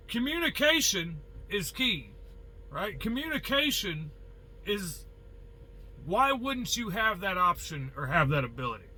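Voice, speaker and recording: American trucker guy, pretty high voice, super sharp and forceful, blunt folksy rant to viewers. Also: low-quality recording. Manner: blunt, folksy, mild swearing, rhetorical questions. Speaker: truck driver giving advice. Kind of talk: casual opinionated monologue to viewers.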